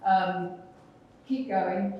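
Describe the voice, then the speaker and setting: A woman's voice: medium pitch, flat delivery, very slow, muffled recording, slight background noise. A woman speaking at a conference, emphatic and encouraging, answering questions from the floor. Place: large conference room. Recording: echoey hall, speaker far from mic.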